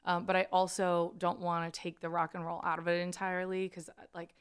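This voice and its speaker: A woman's voice: fairly low pitch, monotone, fairly quick, clear, resonant tone. A woman musician who is a guest on a radio show, talking in a friendly, rambling way with a slight grit in her voice. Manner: friendly and rambling, with frequent laughs. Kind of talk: casual interview chat. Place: radio studio.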